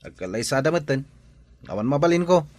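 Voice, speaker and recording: Jealous, animated young Ilocano son. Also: male voice, fairly high pitch, brisk. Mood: bitter. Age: young adult. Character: jealous, hot-headed jilted young village son. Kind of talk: radio drama acting.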